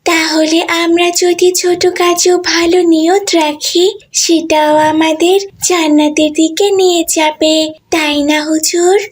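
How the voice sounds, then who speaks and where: A woman's voice: extremely high pitch, level tone, slow. A woman voicing a curious, agreeable little girl pupil in a children's cartoon.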